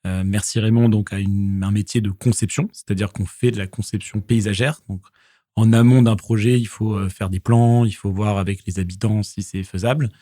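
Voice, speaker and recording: A man's voice: fairly low pitch, steady tone, normal speed, dark tone. A man in his thirties, originally from southwest France, talking in a casual interview, full of hesitations and restarts. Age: 30s. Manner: hesitant, many fillers and restarts. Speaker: guest, company founder. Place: podcast studio.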